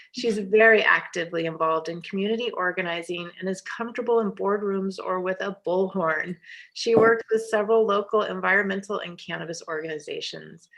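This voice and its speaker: Female host, steady scripted read of a guest bio. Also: medium pitch, poor audio. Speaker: webinar host introducing the speaker. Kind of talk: reading a prepared introduction.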